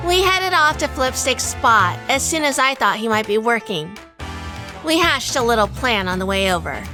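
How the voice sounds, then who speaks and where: A woman's voice: on the high side, sing-song, moderate pace, music in the background. A woman playing a wisecracking, bossy private eye in a 1940s noir audio drama, sardonic and secretly lovestruck. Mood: wry, confiding. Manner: sardonic, scolding. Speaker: lead actor and narrator.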